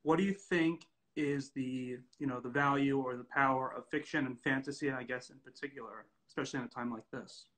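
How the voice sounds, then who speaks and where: Young male interviewer, bright sharp tone, informal and conversational, reading out questions on a video call. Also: medium pitch, fairly even intonation, brisk, few pauses, low-quality recording. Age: young adult. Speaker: interviewer, host. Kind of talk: casual interview chat. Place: indoor room at home, video call.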